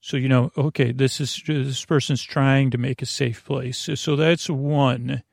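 A man hosting a podcast, delivering a meandering, halting, self-deprecating bedtime monologue that keeps interrupting itself with digressions. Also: mid-range voice, fairly even intonation, gravelly, no room sound, clean studio sound. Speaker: podcast host.

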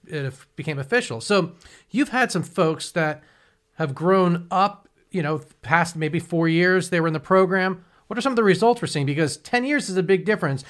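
A man's voice: sits high, fairly bright tone. A man in his forties interviewing guests in a casual, rambling way, often restarting his sentences. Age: 40s.

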